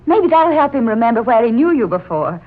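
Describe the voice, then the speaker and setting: An actress playing an excitable, caring young lady friend with a gushing, expressive delivery in a 1940s radio drama. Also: a little high, brisk. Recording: muffled, noisy 1940s radio recording.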